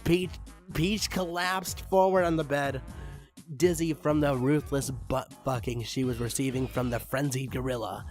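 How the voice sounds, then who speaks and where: A man's voice: medium pitch, steady tone, a little slow. A man reading fan fiction aloud in a theatrical, amused way, stumbling now and then. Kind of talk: dramatic story reading with amused asides. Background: music behind the voice.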